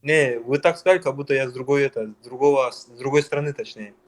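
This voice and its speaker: A man's voice: medium pitch, quick pace. Hesitant young man from Dagestan. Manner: defensive, hesitant.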